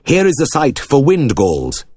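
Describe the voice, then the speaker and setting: A man voicing a male veterinary professor in an audiobook, deliberate and instructive, with a British accent. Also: medium pitch, wildly swooping pitch, a little mellow, slightly rough, dry recording, clean studio sound, pitched above the performer's own voice, full character voice. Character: male veterinary professor giving practical points in a lecture.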